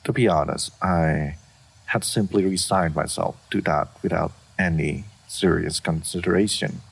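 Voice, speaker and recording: A man voicing an aloof, dignified, solitary dragon in a fantasy roleplay, speaking formally and with measured reserve. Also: low voice, creaky, breathy edge, full character voice. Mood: reserved, pensive. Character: aloof, solitary, dignified old male dragon of great power. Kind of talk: scripted fantasy roleplay audio.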